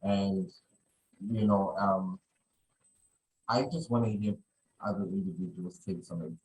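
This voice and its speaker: A man's voice: low-pitched, steady tone, a little slow, darkish tone, rough recording. A man hosting a podcast in casual conversation, rambling and often repeating his phrases. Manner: rambling, repeats phrases, many "you know". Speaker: podcast host.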